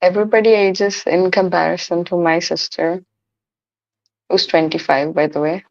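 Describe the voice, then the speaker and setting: A teenage girl with a deep voice and a sore throat, sarcastic and deadpan, who swears casually. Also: normal speed, gravelly. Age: late teens. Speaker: video chat stranger. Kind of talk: casual chat. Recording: lo-fi video chat audio.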